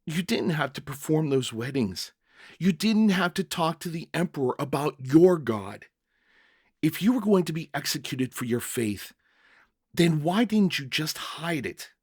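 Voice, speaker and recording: A man's voice: a little high, darkish tone, breathy edge, dry recording, studio quality, voice pushed up. A man playing a bewildered, earnest jailer who tells his story in the first person with dramatic, stammering emotion. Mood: pleading, overwhelmed. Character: young-hearted jailer narrator, bewildered, earnest, emotional father.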